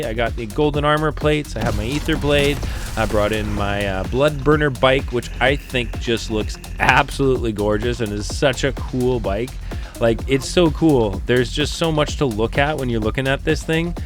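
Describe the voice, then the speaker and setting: A man with a nasal voice from a head cold, chatting enthusiastically as he narrates and reviews his gameplay footage, with game music and gunfire behind him. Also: medium pitch. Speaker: gaming channel host.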